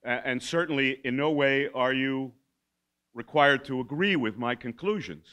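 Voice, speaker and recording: An older man giving an informal keynote talk at a lectern in a school hall, halting, with many fillers and restarts and wry asides. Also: medium pitch, bright, forceful tone, clean tone. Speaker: keynote speaker. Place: school hall with a seated audience. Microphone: podium mic, standing at lectern.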